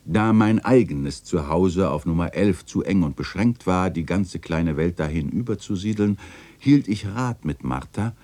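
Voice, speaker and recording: Literary radio drama narrator: melancholy old man recalling memories, very low, mellow, lively intonation. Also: measured pace. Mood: wistful. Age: older. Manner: wistful, expressive storytelling.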